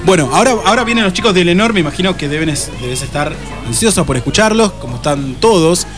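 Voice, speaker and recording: A man's voice: high-pitched, animated, quick pace. An Argentine man hosting a casual live radio chat, talking in a jokey, informal, chatty way. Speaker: radio host. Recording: radio talk with music underneath. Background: music.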